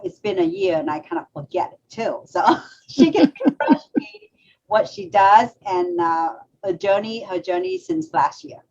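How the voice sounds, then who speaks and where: Woman co-host with a gravelly voice. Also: medium pitch. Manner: lively, laughing, restarts phrases. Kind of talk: casual talk show hosting. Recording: slightly dull lo-fi audio with faint background noise.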